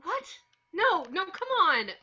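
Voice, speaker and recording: Woman playing frightened young woman, high sharp voice. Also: big pitch swings, slow pace, lo-fi sound, exaggerated voice. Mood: panicked. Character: frightened, exasperated young woman trapped by zombies. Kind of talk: amateur horror screenplay table read.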